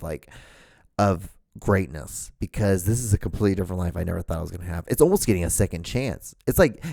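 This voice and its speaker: A man's voice: on the low side, creaky, darkish tone, no room sound. A man in his thirties from Honduras, hosting a solo podcast in a casual, rambling, profane way with many restarts, sitting in a room at a microphone. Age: 30s. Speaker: podcast host. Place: room at home, seated in front of a camera. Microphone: studio microphone, wearing earphones.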